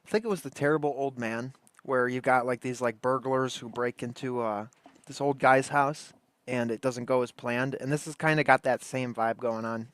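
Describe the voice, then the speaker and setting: A man's voice: medium pitch, steady tone, moderate pace, a bit bright, smooth. A man chats casually as a livestream host. His mid-range voice is laid-back and rambles in slang. The sound is dry, with no room echo. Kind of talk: casual chat commentary.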